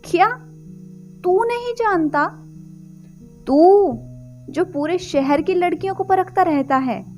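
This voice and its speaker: A woman's voice: very high-pitched, slow, a little mellow, faint music behind, voice pushed up. A woman storyteller voicing a devoted young man soon to marry, speaking to his friend in a sweet, earnest manner. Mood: surprised.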